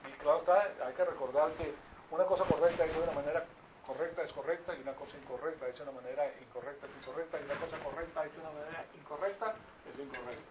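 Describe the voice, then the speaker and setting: A man's voice: on the high side, steady tone, very fast. An older man from Venezuela with a Venezuelan Spanish accent, preaching an informal Bible lesson in a didactic, repetitive way with rhetorical questions to his listeners. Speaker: preacher teaching a congregation. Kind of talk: informal Bible-teaching sermon. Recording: distant mic, muffled, clearly noisy.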